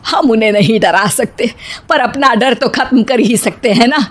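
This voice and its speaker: A woman voicing a bossy middle-aged mother-in-law with a scolding, sarcastic manner, cowardly yet sharp-tongued, in an animated story. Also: on the high side, moderate pace, close to an everyday voice.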